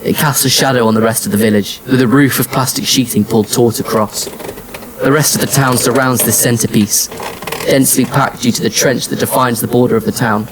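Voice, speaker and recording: A man playing a somber, determined wanderer, narrating a science fiction audio drama in the first person, measured and reflective. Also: mid-range voice, steady tone, slight background noise, close to an everyday voice, low-quality recording.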